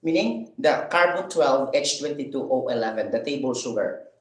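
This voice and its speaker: A man's voice: medium pitch, animated, moderate pace, roomy sound. A man chatting casually in a vlog while he eats, rambling with fillers, asking himself questions and laughing. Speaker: vlogger.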